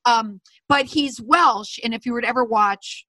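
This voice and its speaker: A woman co-hosting a casual commentary chat, opinionated and animated, often laughing. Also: fairly high pitch, moderate pace, dry recording, rough recording.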